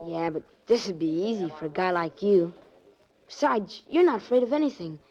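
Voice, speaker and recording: A woman's voice: on the low side, lively intonation, normal speed, a little mellow. A woman playing a plucky, trusting young boy in a 1940s radio drama, talking in earnest, streetwise slang. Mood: earnest, insistent. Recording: muffled vintage 1940s radio recording.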